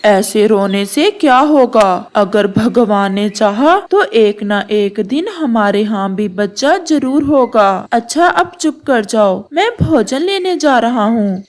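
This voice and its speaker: A woman plays a devoted cartoon pigeon husband. The voice is high-pitched and unhurried. He speaks earnestly and consolingly, like a loving spouse. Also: a little mellow. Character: gentle, devoted married male pigeon husband. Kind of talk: Hindi moral-story cartoon dialogue.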